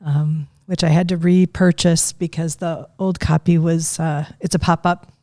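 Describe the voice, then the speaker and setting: A woman in her fifties, a grandmother, recalling raising her children in a thoughtful, unhurried conversation. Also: sits low, level tone, dark tone, dry recording, studio quality. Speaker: guest. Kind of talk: casual interview chat.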